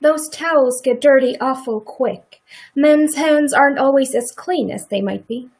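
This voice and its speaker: A woman's voice: high voice, a little slow, a little mellow. A woman playing a guarded, defensive farm wife in a live radio play, with a dry, pointed way of speaking.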